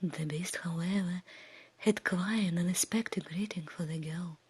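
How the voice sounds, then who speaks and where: A woman tells a bedtime fairy tale in a breathy whisper. Her delivery is very slow and hushed, with soft, soothing phrasing made for falling asleep. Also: on the low side, no echo. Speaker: ASMR storyteller.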